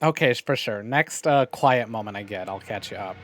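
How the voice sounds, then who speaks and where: A man's voice: lowish voice, moderate pace, a bit bright, a little hiss or hum. A man hosting a livestream, giving casual, conversational game commentary straight to his viewers. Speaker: livestream host.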